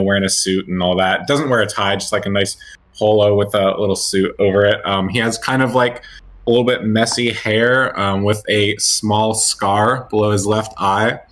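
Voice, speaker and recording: A man's voice: fairly low pitch, fairly bright tone. A man playing a tabletop role-playing game, speaking casually and hesitantly as he improvises his part. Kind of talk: casual improvised character description.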